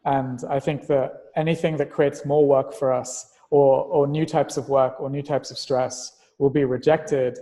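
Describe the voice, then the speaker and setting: A man's voice: medium pitch, flat delivery, measured pace, few pauses, dark tone, long reverb tail. A man speaking as a guest in a casual podcast conversation, explaining ideas in an analytical, abstract way. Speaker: interview guest, tech investor and entrepreneur.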